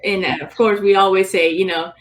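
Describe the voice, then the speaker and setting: A woman's voice: medium pitch, fairly even intonation, normal speed, a little hiss or hum, poor audio. A woman from Cuba who speaks English. She answers hesitantly, with many ums, over a video call. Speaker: guest. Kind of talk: conversational interview answer.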